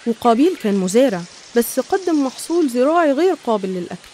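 Woman narrator, Egyptian accent, warm mellow tone, fast pace, scripted religious storytelling. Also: fairly high pitch, big pitch swings, a little hiss or hum.

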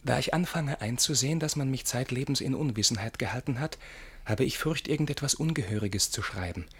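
An actor playing a boastful, naively confiding young gentleman in a German radio verse comedy, with exuberant declamation and a husky voice. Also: medium pitch, fairly quick. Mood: tender reading. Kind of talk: theatrical radio play acting in rhymed German verse.

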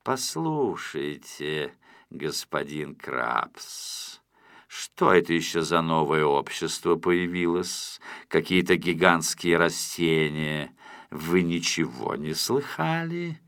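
A man voicing an imperious, impatient rich tycoon in a storybook audio play, with slow, weighty speech. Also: very deep voice, sing-song, fairly bright tone, smooth, no echo, studio quality, voice pushed down, exaggerated voice. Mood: demanding. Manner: slow, weighty, drawn-out delivery. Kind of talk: audiobook storybook character acting.

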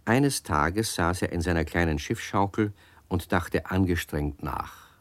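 A man's voice: sits low, a little slow, no echo. A man narrating a children's radio story and speaking to the children directly. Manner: warm, addresses the children directly.

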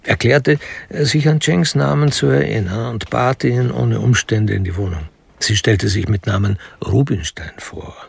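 Narrator, heavy gravelly creak, mid pitch, sober, literary, radio crime drama. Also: male voice, a little slow, a little hiss or hum. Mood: sober, detached. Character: sober, literary male narrator of a detective story.

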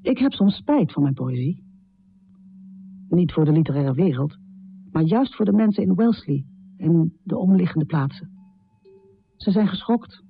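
An actress playing a troubled, confessional middle-aged woman poet in a radio drama, speaking intimately with a low, husky voice. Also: narrow, tinny audio. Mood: regretful. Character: troubled, confessional American woman poet, heavy drinker, death-obsessed. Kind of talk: radio drama monologue and poetry recital.

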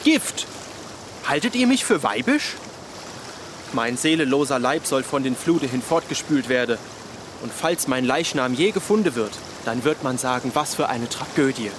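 An actor playing a vain, melodramatic young eighteenth-century poet in a radio drama, with pompous, florid, old-fashioned diction. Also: male voice, mid-range voice, animated, normal speed, background noise, lightly acted. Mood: dramatic self-pity. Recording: loud outdoor ambience, running water behind voice.

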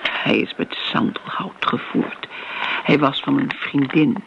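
An actress playing an eccentric, lonely older lady customer in a radio drama, rambling genteelly in a breathy, wistful voice. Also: extremely low pitch, takes it slowly, heavily acted. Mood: wistful, chatty. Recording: muffled, noisy old radio play recording.

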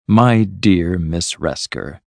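A man's voice: medium pitch, very animated, moderate pace, no room sound, pitched above the performer's own voice. A man voicing a patient, pompous male inventor lecturing proudly in a storybook reading. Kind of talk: storybook character voice.

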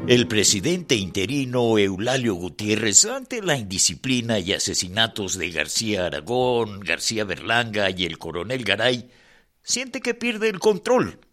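Low, bright, forceful male narrator. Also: sing-song, a little slow. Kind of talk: scripted dramatic narration.

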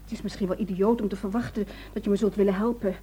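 An actress in a Dutch radio drama playing a worried, determined wife who speaks in an earnest, pleading way. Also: female voice, medium pitch, steady tone, fast, mellow, breathy edge, close to an everyday voice. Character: worried, determined wife seeking help for her secretive husband.

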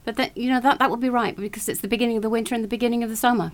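A woman's voice: fairly high pitch, steady tone, rapid-fire. A woman hosting a casual podcast conversation, asking questions and chiming in with short affirmations. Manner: inquisitive, brief affirmations. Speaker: podcast host.

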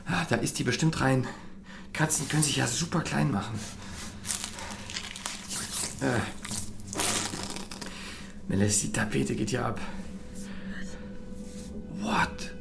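Actor as panicky man with swooping pitch. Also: animated, takes it slowly, gravelly, long pauses, noisy background. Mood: shocked, uneasy. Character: panicky, suspicious young man trapped in an apartment. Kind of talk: audio drama dialogue.

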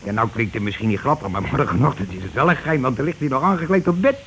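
Bossy working-class husband played by an actor, noisy recording. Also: male voice, medium pitch, animated, quick pace, fairly bright tone, natural voice. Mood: scheming, bossy. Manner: insistent, colloquial, explaining impatiently. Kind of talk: radio play acting. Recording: noisy old radio drama recording.

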